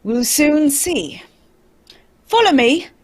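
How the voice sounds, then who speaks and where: A woman playing a shrewd male detective with a husky, theatrical delivery in an amateur radio drama. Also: high-pitched, sing-song, takes it slowly, heavily acted. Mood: brisk, commanding. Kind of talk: amateur old-time radio drama reading.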